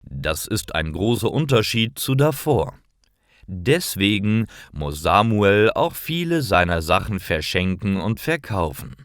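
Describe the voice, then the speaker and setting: A man narrating simple scripted stories for language learners, reading carefully in clearly phrased short sentences. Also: fairly low pitch, sing-song, measured pace, a bit bright, no echo, studio quality.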